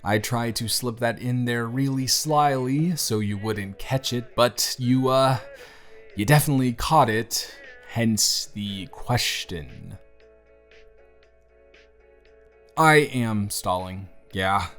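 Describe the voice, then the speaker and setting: A man playing a bruised, self-deprecating teenage boy confiding in his best friend in an intimate audio roleplay, talking in a hesitant, gently wry, conversational way. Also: medium pitch, slow pace. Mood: hesitant, evasive. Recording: music behind the voice. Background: soft background music.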